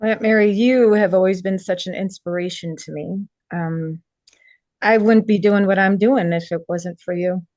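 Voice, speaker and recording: A woman reading the part of a warm, earnest costume designer in a screenplay table read. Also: medium pitch, steady tone, normal speed, dry recording, highs cut off, natural voice. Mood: warm, grateful. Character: warm, earnest female costume designer back in her hometown.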